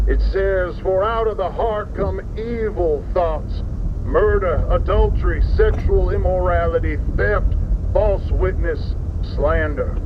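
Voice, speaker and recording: A man playing a solemn, ominous preacher in a horror video, delivering a formal scripted sermon with scripture. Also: on the high side. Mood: grave, ominous. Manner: solemn, formal, archaic phrasing. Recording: dull lo-fi audio with loud noise.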